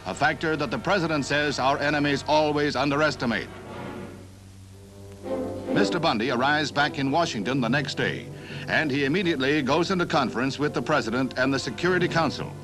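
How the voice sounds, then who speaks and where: A man's voice: on the high side, brisk. A man with an American accent reading a formal, scripted 1960s newsreel narration in a declarative announcer style. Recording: 1960s newsreel soundtrack with music. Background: newsreel music.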